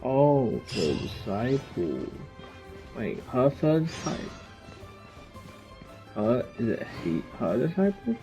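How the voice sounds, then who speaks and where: A man's voice: medium pitch, sing-song, slow, pauses often, dark tone. A man giving casual commentary while playing through a video game. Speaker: gamer reacting to a game. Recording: dull lo-fi mic, faint game music. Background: game music.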